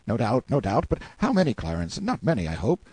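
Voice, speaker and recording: A man's voice: medium pitch, wildly swooping pitch, a little fast, a little mellow, husky, no room sound, full character voice. A man playing a brash, sardonic, boastful hero in an audiobook, with emphatic, exclamatory delivery. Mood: beseeching. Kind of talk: audiobook character acting.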